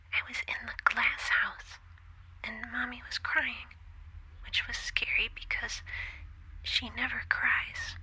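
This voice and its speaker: A woman playing a frightened little girl in an audio drama, speaking in a hesitant, halting, breathy whisper with childlike phrasing. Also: a little high, pushed and bright, heavily acted, poor audio.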